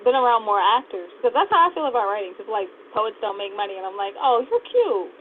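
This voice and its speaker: A woman's voice: medium pitch, very animated, fast talker. A woman co-hosting a casual interview and putting questions to the guest in a chatty way. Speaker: podcast co-host interviewer. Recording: thin phone-like radio sound, faint noise.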